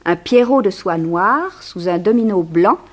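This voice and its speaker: A charming, curious woman reporter from an old French radio serial. Her voice sits at a medium pitch, and she tells things with poise, polish and lively detail. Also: a little slow. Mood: earnest, confiding. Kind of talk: 1960s French radio drama acting.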